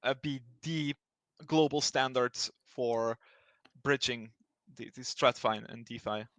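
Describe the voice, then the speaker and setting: A man's voice: mid-range voice, takes it slowly, fairly bright tone, no echo. A man from Belgium answering interview questions in an explanatory way, hesitant and often restarting his sentences. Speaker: interview guest speaking for a blockchain project.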